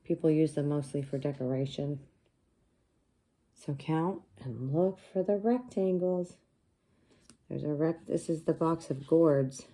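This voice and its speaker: A woman teacher reading a picture book aloud to young children, pausing to explain things simply and ask them questions. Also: deep voice, dark tone.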